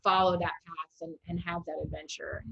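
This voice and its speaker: A woman's voice: lowish voice, level tone, normal speed, creaky, lo-fi sound, slight background noise. An American woman hosting a casual literary interview, thinking aloud in an encouraging, conversational way. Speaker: interviewer, host, poet.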